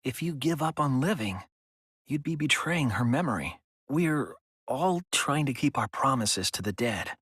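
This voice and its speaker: A man playing an earnest young sleuth in a dramatic game, reasoning with deliberate emphasis and compassion. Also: medium pitch, lively intonation, a bit bright, husky, no room sound, clean studio sound. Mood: solemn, encouraging. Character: earnest young male sleuth reasoning through a murder trial. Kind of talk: dramatic game-dub acting.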